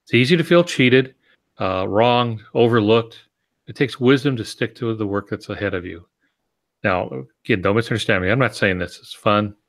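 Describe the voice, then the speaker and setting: A man's voice: on the low side, normal speed. A man co-hosting a podcast, giving conversational advice in a plainspoken, matter-of-fact way. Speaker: podcast co-host.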